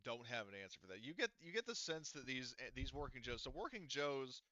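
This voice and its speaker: A man's voice: mid-range voice, big pitch swings, brisk, sharp tone, dry recording. A man running a tabletop game as game master, rambling loosely and swearing casually over a dull lo-fi mic.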